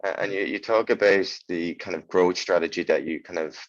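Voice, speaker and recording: A man's voice: deep voice, steady tone, a little fast, no echo, dull audio. A young man, asking wordy, informal questions as an interviewer.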